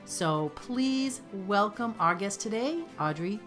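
A woman's voice: mid-range voice, lively intonation, slow, a bit bright, soft background music. A woman hosting a podcast interview, upbeat and affirming toward her guest. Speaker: podcast host.

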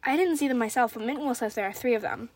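An anxious young frontier boy from a storybook, voiced by a woman. He speaks urgently and full of questions, in a fairly high voice, recorded dry. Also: fast, no room sound, close to an everyday voice.

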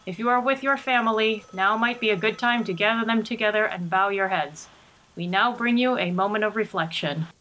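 A woman's voice: mid-range voice, brisk, a bit bright, a little hiss or hum, lightly acted, low-quality recording. A woman playing an earnest, formal news anchor in a 1930s radio drama spoof. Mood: flustered, apologetic.

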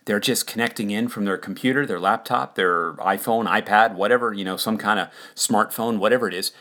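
A man's voice: on the low side, brisk, bright, forceful tone. A man hosting a solo podcast, speaking in a conversational, enthusiastic way in an informal monologue. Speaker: podcast host. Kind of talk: casual informal monologue about an upcoming event.

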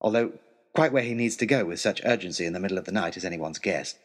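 A man's voice: low voice, quick pace. An actor playing a put-upon, wry male tutor, reading his pompous, wordy, aggrieved letter aloud in a comic audio story. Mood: sardonic. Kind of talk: scripted comic narration as a letter read aloud.

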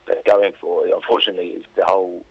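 A man with a very low, husky voice gives his views in a casual radio interview. He rambles informally and is opinionated. The sound is dull and lo-fi, like a phone link. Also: little pitch movement, fast talker, no room sound. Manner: informal, opinionated, rambling with frequent "you know" and "like". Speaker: interview guest.

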